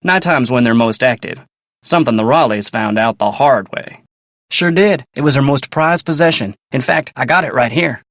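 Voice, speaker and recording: Polite young cowboy with a Western drawl. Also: male voice, mid-range voice, normal speed, a bit bright, no echo, studio quality. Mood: earnest, polite. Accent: American Western. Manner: polite, plainspoken. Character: polite, earnest young cowboy ranch hand. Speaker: ranch hand. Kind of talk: video game character dialogue.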